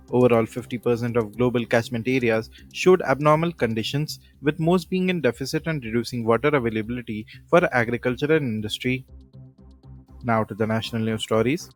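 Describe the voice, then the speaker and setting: Male news presenter, Indian accent, mid-pitched, formal and measured scripted read, music bed underneath.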